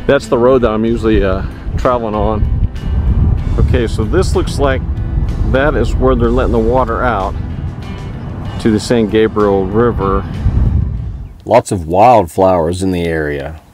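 A man's voice: on the low side, a little slow, pauses often, over music. A man in his forties, a travel vlogger, chatting casually to a handheld camera outdoors and in his camper van. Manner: chatty and upbeat, with frequent fillers. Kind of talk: casual travel vlog with voice-over narration. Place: outdoors on a lakeside dam, and inside a camper van. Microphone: handheld camera at arm's length.

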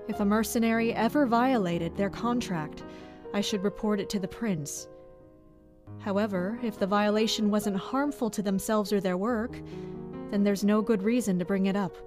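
An actress playing a dutiful, guarded mercenary captain, narrating in a measured, serious way in an audio drama. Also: female voice, mid-range voice, close to an everyday voice. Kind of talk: scripted first-person audio drama monologue.

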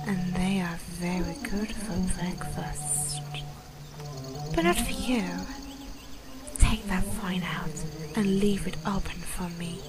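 A woman voicing a motherly female python, sly and doting, in a breathy, sultry, half-whispered fan dub. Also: medium pitch, slow, lightly acted. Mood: fond, soothing. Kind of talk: fan dub voice-over, slow storytelling. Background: music and chirping birds.

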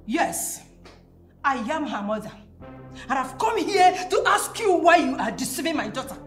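A greedy, quarrelsome Nigerian mother in a melodrama. Her voice is high and very animated, with emphatic, confrontational delivery. It sounds in a roomy space with music behind it. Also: normal speed. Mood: furious, scolding. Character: domineering, greedy, quarrelsome mother of a grown daughter. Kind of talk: screen melodrama acting.

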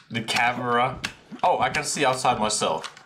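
A young man in a headset commenting casually on a game he is playing, excitable and slangy, laughing often. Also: mid-range voice, noisy background. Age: young adult. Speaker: gaming streamer. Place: small room at a desk.

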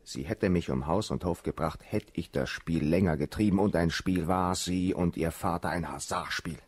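A cynical aristocratic officer in a radio play. His low, rough-edged voice drawls with sneering, callous self-justification. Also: normal speed, darkish tone. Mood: scornful, smug. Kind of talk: German radio drama dialogue.

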